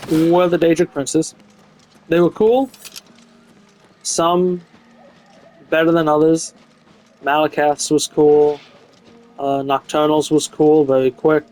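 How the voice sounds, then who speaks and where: A man's voice: on the high side, darkish tone, low-quality recording. A man giving a casual, unscripted video game review in a frank, opinionated way. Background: music behind the voice.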